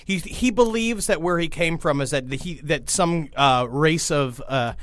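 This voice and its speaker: Excitable, high-pitched man telling stories. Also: normal speed, no echo, clean studio sound. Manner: foul-mouthed, excitable, repeats words in bursts. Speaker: comedy podcast host. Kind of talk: casual comedic storytelling.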